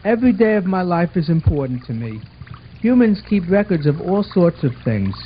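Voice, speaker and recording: A man's voice: high voice, sing-song, moderate pace. A man voicing an earnest, orderly twelve-year-old boy in a scripted puppet show, explaining things in a bossy, affectionate way. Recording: muffled amateur recording with watery noise.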